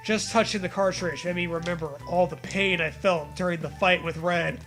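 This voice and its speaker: A man's voice: high voice, steady tone, normal speed, fairly bright tone, faint music behind. A man reading a story aloud in a comedic way, with mocking, profane casual riffing.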